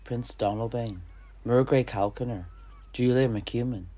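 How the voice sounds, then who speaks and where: A man playing an alchemist storyteller, recounting fantasy legends of his homeland in a theatrical way with dramatic pauses. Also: medium pitch, fairly even intonation, mellow, lightly acted. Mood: dramatic storytelling. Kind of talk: in-character fantasy tale narration.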